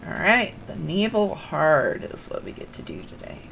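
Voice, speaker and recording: A woman with a creaky voice live-streaming a game, speaking casually as she plays. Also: low voice, sing-song, moderate pace, noisy background. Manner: thinks aloud in short fragments. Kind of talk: live game stream, reading quest dialogue aloud and muttering casually.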